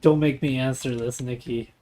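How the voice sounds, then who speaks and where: A man's voice: mid-range voice, fairly even intonation, normal speed, mellow. A man in his late twenties chatting casually while gaming on a livestream, teasing and swearing freely. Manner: joking, teasing, profane. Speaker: game streamer. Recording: clearly noisy stream audio.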